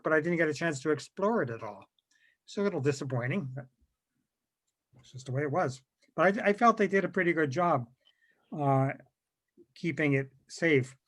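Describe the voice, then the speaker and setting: A man's voice: on the high side, fairly bright tone. A man chatting casually on a video call, telling things in a rambling, conversational way. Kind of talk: casual anecdotal chat.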